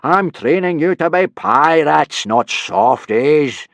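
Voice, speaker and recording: A man voicing a booming, blustering Viking warrior who teaches boys, in a storybook reading. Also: lively intonation, slow pace, creaky, a bit bright, no room sound, heavily acted.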